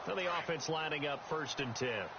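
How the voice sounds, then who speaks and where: A man's voice: a little high, moderate pace, lightly acted. A man calling a football game as a play-by-play commentator, stressing the big plays. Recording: muffled game stream, loud background noise.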